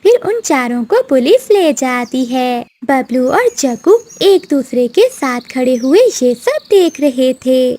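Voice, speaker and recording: A woman's voice: sits high, big pitch swings, slow pace, hardly any pauses, mellow. A woman narrating a scripted children's cartoon story as its storyteller.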